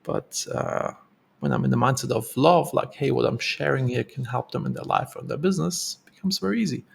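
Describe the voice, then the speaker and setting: A man's voice: medium pitch, a little fast, vocal fry, husky. A man with a German accent, co-hosting a podcast and telling his personal story in an earnest, reflective way. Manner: earnest, reflective, with fillers and repeats. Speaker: podcast co-host. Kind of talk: casual storytelling.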